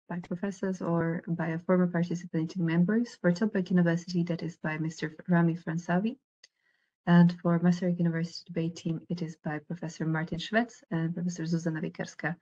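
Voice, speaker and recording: A woman speaking as the host of a debate tournament, making formal announcements over a video call with a husky voice. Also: fairly low pitch, dark tone, dull audio.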